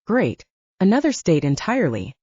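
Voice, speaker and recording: A woman's voice: lowish voice, huge pitch swings, normal speed, darkish tone, husky, heavily acted. A woman voicing an irritable, principled young male wizard held captive, grumbling sarcastically in an audiobook. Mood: sarcastic. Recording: roomy, dull lo-fi audio.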